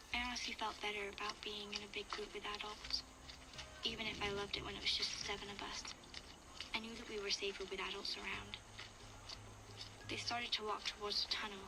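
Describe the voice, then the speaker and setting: A woman's voice: medium pitch, heavy background noise, close to an everyday voice. An actress playing a young girl survivor who narrates her ordeal in an earnest, confessional way. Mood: reflective. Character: young girl survivor recounting danger, frightened but reflective. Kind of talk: first-person dramatic audio log narration.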